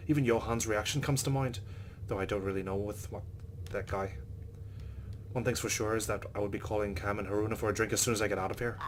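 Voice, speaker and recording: A man's voice: on the low side, slight background noise. A man hosting a let's play, reading visual novel narration dramatically and reacting with exclamations.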